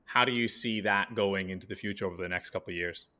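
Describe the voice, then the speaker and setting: A man's voice: sits low, fast, pushed and bright. A man hosting an interview podcast, asking casual, upbeat, rambling questions. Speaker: podcast host.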